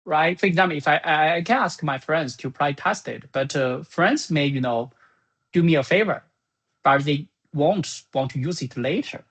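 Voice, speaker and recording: A software creator giving an informal spoken summary of business plans in a halting, think-aloud manner. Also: medium pitch.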